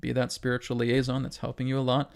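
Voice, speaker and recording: Male spiritual host, mid pitch, creaky, emphatic and repetitive lecture delivery. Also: monotone, fast talker. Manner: emphatic, repetitive, asks rhetorical questions and tags "right?". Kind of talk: spiritual lecture to an online audience.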